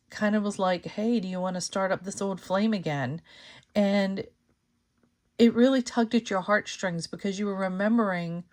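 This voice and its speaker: A woman hosting her channel, giving a casual tarot reading to camera, slightly hoarse from losing her voice, thinking aloud with many fillers and restarts. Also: mid-range voice, a little mellow. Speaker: tarot reader and channel host.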